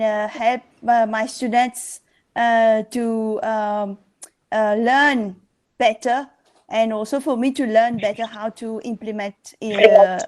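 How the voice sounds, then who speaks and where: Slow careful woman from mauritius, lots of uhs, on a class call. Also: on the high side, darkish tone. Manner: hesitant, many fillers. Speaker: university staff and student. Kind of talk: self-introduction. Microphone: video call.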